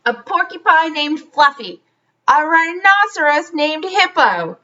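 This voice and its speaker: A woman's voice: high voice, animated, slow pace, pushed and bright, muffled recording, exaggerated voice. A woman voicing a small, polite, earnest male porcupine in a children's storybook. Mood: amused. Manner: earnest, polite, simple phrases. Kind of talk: storybook read-aloud character voice.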